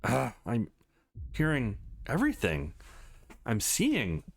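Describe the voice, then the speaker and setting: Man as anxious young visual novel hero, halting, very expressive pitch. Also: gravelly, husky, heavily acted. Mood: overwhelmed, halting. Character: supernaturally overwhelmed young man training, anxious, earnest. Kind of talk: visual novel read-aloud.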